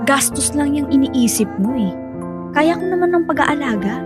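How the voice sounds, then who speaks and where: A woman's voice: sits high, lively intonation, normal speed, over loud music. A woman playing a patient young wife and devoted new mother in a Filipino radio drama. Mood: insistent, reassuring.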